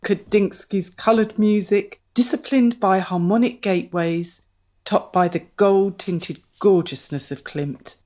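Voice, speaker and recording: A woman's voice: medium pitch, unhurried, darkish tone, lightly acted. An actress playing an opinionated, snobbish art lover, florid in her delivery, in a radio comedy drama. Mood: awestruck. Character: arty, snobbish newcomer woman, gushing yet scathing.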